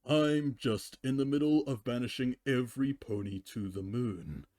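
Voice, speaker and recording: A man's voice: mid-range voice, normal speed, darkish tone, no echo, clean studio sound, close to an everyday voice. A man voicing a cheerfully callous young female cartoon pony in a nonchalant, matter-of-fact way.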